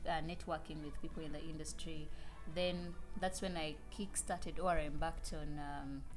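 A lively woman with a Ugandan accent talks as a podcast guest and laughs easily. Her voice is very low for a woman. Music runs under the conversation. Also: normal speed. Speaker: guest, news anchor. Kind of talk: casual interview chat.

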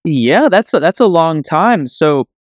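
A man's voice: a little high, big pitch swings, a little slow, no room sound, studio quality. A young man hosting a podcast in an upbeat, casual interview style with a chummy, slangy way of talking. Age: young adult. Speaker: podcast host.

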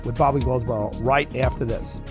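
A man in his forties hosting a radio interview, brash, with excitable interjections. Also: medium pitch, a little fast, over music. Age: 40s. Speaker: radio talk show host.

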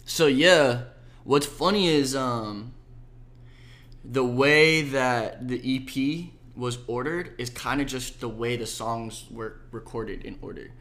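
A young man, a musician, chatting casually about his music in a laid-back, slangy way with a soft voice. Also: medium pitch, takes it slowly. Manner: laid-back slangy talk, lots of "like" and "bro". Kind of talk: casual interview chat.

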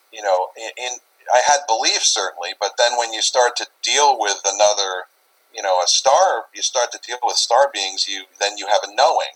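Casting: male interview guest, lowish voice, conversational, loose and repetitive phrasing. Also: fairly bright tone, low-quality recording. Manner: rambling, repeats phrases, often says "you know". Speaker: interview guest, paranormal investigator.

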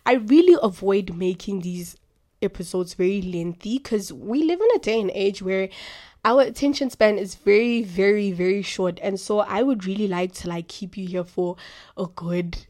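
Chatty young woman with a deep voice. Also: normal speed, warm tone. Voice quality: naturally deep voice. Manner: chatty, rambling, many fillers. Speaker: podcast host. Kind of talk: casual faith talk.

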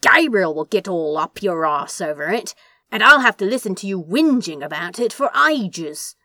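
A woman reading aloud and voicing a brash, swearing male demon with a British accent, loud and blunt. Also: lowish voice, expressive, normal speed. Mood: exasperated.

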